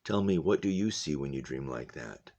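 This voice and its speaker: A man reading the storybook lines of an earnest, shy young girl in a quiet, tentative way. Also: a bass voice, lively intonation, moderate pace, lo-fi sound, full character voice. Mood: quiet, troubled. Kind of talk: storybook read-aloud dialogue.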